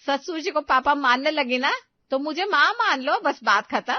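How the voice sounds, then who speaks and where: A woman's voice: high-pitched, animated, moderate pace, no echo, lo-fi sound, voice pushed up. A woman voicing an affectionate, firm older mother-in-law in a family story, bossy but loving. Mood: firmly loving.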